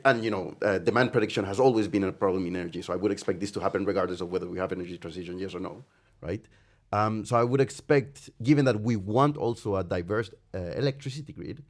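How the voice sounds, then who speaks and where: A man in the audience of a lecture, asking a long rambling question and thinking aloud with many asides. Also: fairly low pitch. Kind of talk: long spoken question at a talk. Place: lecture room.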